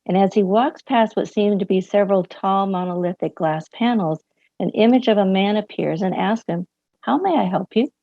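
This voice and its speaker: A woman hosting a library event, reading a scripted introduction of a guest speaker at a measured, deliberate pace. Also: mid-range voice, warm tone, no room sound. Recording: dry, muffled lo-fi audio.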